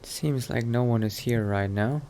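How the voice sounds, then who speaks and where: A man narrating his video game roleplay in character, thinking aloud with pauses. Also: medium pitch, warm tone, slight background noise.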